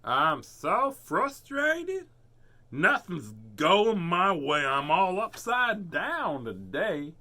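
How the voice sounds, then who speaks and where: A frustrated turtle from a storybook, whining high and slow, as a man reading aloud puts him on. Bright, lively and stretched out. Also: exaggerated voice. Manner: high, drawn-out complaining.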